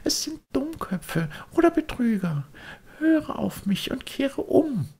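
A man voicing the gentle, solemn ghost of a cricket in a soft, hollow, breathy whisper, pleading slowly in a storybook reading. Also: very high-pitched, sing-song, pitched above the performer's own voice, full character voice. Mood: solemn warning. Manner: slow, drawn-out pleading.

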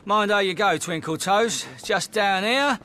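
An actor playing a cocky, laddish club promoter in a video game, with a British accent and a teasing, swaggering manner. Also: male voice, sits high, a little slow, bright, forceful tone. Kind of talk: video game cutscene acting.

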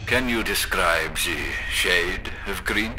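A very deep, slow-spoken doctor in a horror radio play, formal and probing, as a man voices him, with a bright, clear edge to the tone. Every question is measured and unhurried. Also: slight background noise, heavily acted. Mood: clinical. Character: calm, probing male doctor running an experiment. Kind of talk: horror audio drama.

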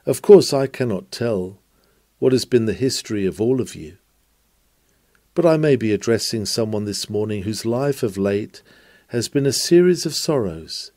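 A man delivers an old-fashioned sermon, reading it aloud with earnest, formal oratory. His voice is soft-edged and mellow, and the sound is close and dry, with measured phrases that press the listener. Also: lowish voice, lively intonation, no room sound. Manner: earnest, exhortatory, rhetorical questioning. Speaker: reader of a historic sermon.

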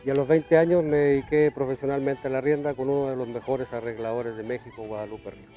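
A Chilean man in his fifties, a horse trainer, telling stories in an outdoor ranch interview, trailing off softly and restarting his phrases. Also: mid-range voice, flat delivery, fast, dull audio, soft background music. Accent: Chilean Spanish with Mexican influence. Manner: warm storyteller, many restarts, trails off softly. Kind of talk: storytelling interview. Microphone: lapel.

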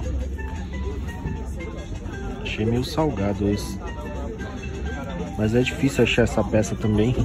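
A young man casually showing off toys while walking through an outdoor flea market, filming on a handheld phone and pointing things out constantly. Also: lowish voice. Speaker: toy collector vlogger. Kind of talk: casual walk-through commentary. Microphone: handheld phone camera.

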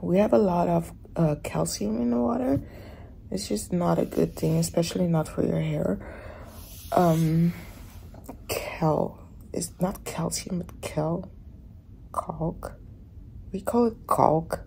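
A woman vlogging casually from a hotel room in the morning, her voice raspy and often dropping to a whisper. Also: lowish voice, slow, long pauses, a little hiss or hum, low-quality recording. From Netherlands. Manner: soft, often whispering in the dark.

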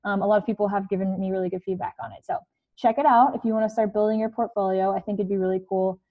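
A woman's voice: mid-range voice, steady tone, quick pace, phone-quality sound. A young woman coaching students on a video call from her living room, chatty with many fillers. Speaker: career coach. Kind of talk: casual online presentation. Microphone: earbud headset on video call.

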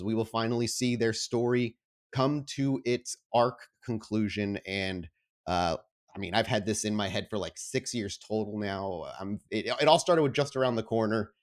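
A man with a fairly low voice hosts a tabletop roleplaying show as its game master. He speaks in an informal, enthusiastic way. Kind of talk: casual announcements, then narrating a tabletop game.

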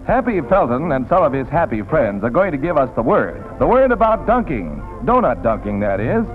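Male American newsreel narrator, 1940s: dramatic, higher-pitched, swooping delivery over music on a tinny old soundtrack. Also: big pitch swings, normal speed. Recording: tinny vintage film soundtrack, music behind.

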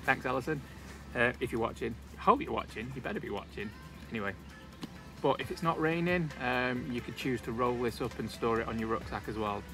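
A man's voice: medium pitch, brisk, over music. Chatty man in the woods. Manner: chatty, relaxed, light joking asides. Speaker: outdoor gear vlogger. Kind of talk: casual gear review. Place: outdoors in a leafy wood. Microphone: camera on a tripod, also handheld selfie while walking.

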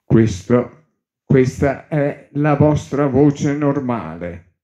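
A man's voice: medium pitch, expressive, drawn-out words, warm tone. A man demonstrating synthesizer presets, his voice run through a vocoder that makes it robotic and musical. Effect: vocoder, changing presets, robotic and musical. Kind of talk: product demo of vocoder presets. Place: indoor room with synth gear. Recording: dull lo-fi, synth tones throughout.

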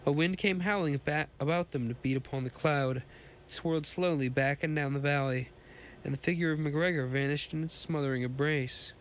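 A hoarse man with a cold, hosting a podcast and reading a pulp science fiction story aloud, often stumbling and restarting lines. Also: a little high, normal speed, a little hiss or hum.

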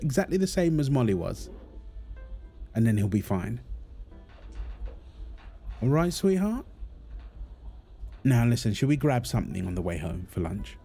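A man playing a gentle, reassuring young father and husband in an intimate audio roleplay, talking in a soothing, affectionate, casual way. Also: mid-range voice, slightly rough. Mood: reassuring, cheerful. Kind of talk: intimate comfort roleplay, casual one-sided dialogue.

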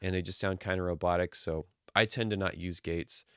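A man in his thirties casually walking through his microphone filter settings from a small quiet home studio, relaxed and jokey. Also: deep voice, a little fast, clean tone, dry recording. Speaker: streaming audio tutorial host. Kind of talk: casual screen-share tutorial. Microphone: studio dynamic mic on boom arm.

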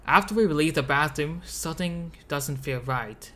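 A narrator reading a scripted video essay about a game in a measured, explanatory way. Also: mid-range voice. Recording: loud background music. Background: loud music.

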